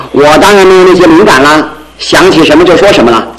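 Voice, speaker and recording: An actor's high, boisterous, carefree young bachelor. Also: male voice, lively intonation, fairly quick, lightly acted. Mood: teasing. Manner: chuckling, teasing, theatrical exclamations. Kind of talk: Mandarin radio drama acting. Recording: faintly noisy old radio broadcast.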